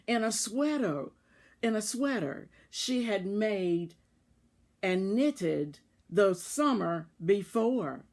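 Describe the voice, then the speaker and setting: A woman reading a children's storybook aloud, deliberately and in short phrases, as a volunteer reader. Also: fairly high pitch. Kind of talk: read-aloud children's storybook narration with asides about the pictures.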